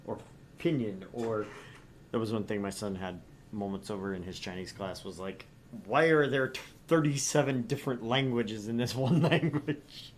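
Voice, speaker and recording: A man's voice: lowish voice, moderate pace, slight background noise. A middle-aged man co-hosting a let's play, chatting casually. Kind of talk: casual chat and playful line reading.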